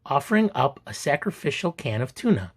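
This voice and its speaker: A man reading a children's picture book aloud as an expressive, deliberate, theatrical storyteller. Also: mid-range voice, breathy edge. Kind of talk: expressive dramatic read-aloud narration.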